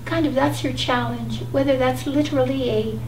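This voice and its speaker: A soft-spoken woman with a high, light voice talks through a tarot reading. She speaks gently and haltingly, with many pauses. The sound is distant and roomy, with a steady hum behind it. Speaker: tarot reader co-host. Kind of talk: casual tarot reading chat. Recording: echoey room, distant mic, noisy hum.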